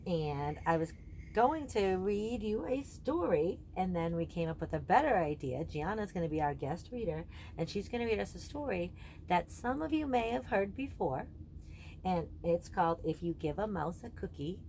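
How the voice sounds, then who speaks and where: A woman speaking as a teacher introducing a read-aloud for children, with measured, explanatory phrasing. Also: fairly low pitch, lively intonation, dark tone, slight background noise. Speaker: teacher introducing a guest reader.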